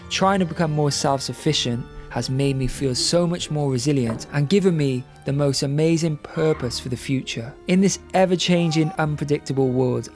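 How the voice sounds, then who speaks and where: A man talking earnestly and warmly about homestead life in a casual vlog, recorded outdoors in a garden. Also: fairly high pitch. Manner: earnest, heartfelt, upbeat. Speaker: homesteading vlogger. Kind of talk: reflective vlog narration and casual chat.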